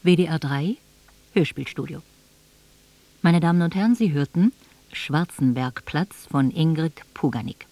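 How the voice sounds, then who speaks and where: A woman's voice: sits low, darkish tone, dry recording. A woman radio announcer reading a formal scripted broadcast announcement with careful enunciation in a studio.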